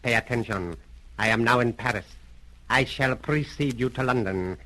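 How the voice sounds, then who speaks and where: An actor playing a sinister, commanding criminal mastermind in a 1930s radio drama, speaking formally and deliberately with cool courtesy. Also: male voice, mid-range voice, bright, forceful tone. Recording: noisy old 1930s radio recording.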